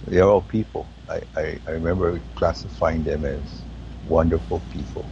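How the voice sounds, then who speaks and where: Very deep gravelly man, slow and halting. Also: steady tone, lo-fi sound, noisy background. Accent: Hawaiian. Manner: slow, halting, searching for words. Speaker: guest. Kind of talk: spiritual conversation.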